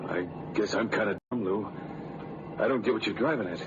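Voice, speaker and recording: An American actor in vintage radio drama playing a gangster's peacemaking, none-too-bright driver pal, with urging, slangy talk. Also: male voice, lowish voice, fairly quick, lightly acted. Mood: placating. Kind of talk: 1940s radio acting. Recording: noisy, muffled vintage radio broadcast.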